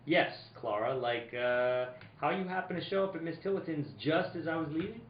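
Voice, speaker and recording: Male private eye played by an actor, wry and suspicious, halting delivery, radio detective play, roomy dull recording. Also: a little high, slight background noise, close to an everyday voice. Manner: stammering, halting. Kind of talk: live reading of old-time radio detective drama.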